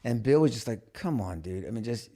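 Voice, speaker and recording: A man's voice: medium pitch, lively intonation, fairly quick, mellow, husky. A man hosting a podcast in casual, blunt chat, swearing freely and restarting his sentences often. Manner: blunt, profane, lots of restarts. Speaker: podcast host.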